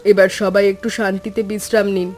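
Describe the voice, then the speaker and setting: Actress as humble cartoon village mother, gentle, caring, earnest delivery. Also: mid-range voice, level tone, a little fast, close to an everyday voice. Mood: grateful, caring. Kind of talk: animated cartoon story acting.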